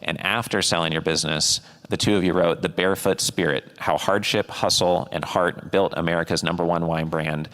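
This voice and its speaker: A man with a very deep voice asks questions at a deliberate pace, often pausing on fillers. His voice trails a long reverb. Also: fairly even intonation, fairly bright tone. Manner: deliberate, with frequent ums and uhs. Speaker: interviewer, host. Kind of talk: interview questions.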